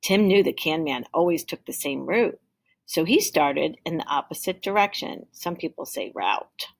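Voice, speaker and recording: A woman teacher reading a children's story aloud in an expressive storytelling style. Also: sits low, normal speed, creaky.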